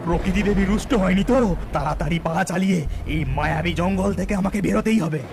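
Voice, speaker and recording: A man's voice: high voice, a little fast, creaky, background noise. A man playing a sneaky, jumpy snake charmer thief who exclaims and repeats himself in a cartoon. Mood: panicky.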